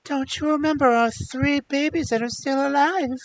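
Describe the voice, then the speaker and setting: A man's voice: extremely high pitch, steady tone, normal speed, voice pushed up, low-quality recording. A man voicing a sorrowful, devoted princess pleading in a storybook fairy tale. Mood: urgent pleading. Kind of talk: fairy tale storytelling, chanted slow verse.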